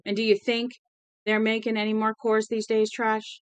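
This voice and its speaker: A woman's voice: a little high, monotone, normal speed, clear, resonant tone, no echo, close to an everyday voice. A woman voicing a small, drunk, volatile young Southern man in a novel, crude, profane and threatening. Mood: menacing outrage.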